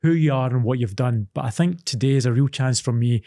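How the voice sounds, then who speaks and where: A man's voice: medium pitch, fairly quick, gravelly, dark tone, no room sound, clean studio sound. A man, an actor and presenter, interviewing a guest on a podcast, encouraging her and often repeating himself as he goes. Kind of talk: podcast interview, scripted intro then casual chat.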